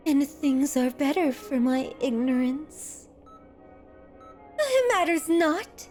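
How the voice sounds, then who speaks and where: A very high, sweet-pitched yandere woman speaks extremely slowly, savoring ornate phrases. She is doting and possessive, with a genteel menace suited to an ASMR roleplay. Music plays clearly beneath her. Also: a little mellow. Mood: possessive, tender. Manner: slow, ornate, tender, possessive delivery. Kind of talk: scripted ASMR roleplay, theatrical monologue. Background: background music.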